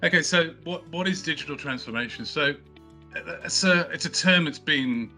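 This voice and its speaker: A man's voice: fairly high pitch, moderate pace, pushed and bright, faint music behind. A middle-aged man, a company co-founder, answering interview questions casually, with many fillers and restarts. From not from Australia, studied in the UK. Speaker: company co-founder, podcast guest.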